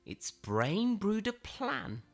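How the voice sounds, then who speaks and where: A woman reading a children's picture book aloud in a theatrical way, with dramatic emphasis and suspenseful pauses. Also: medium pitch, sing-song, vocal fry, soft background music. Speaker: storybook reader.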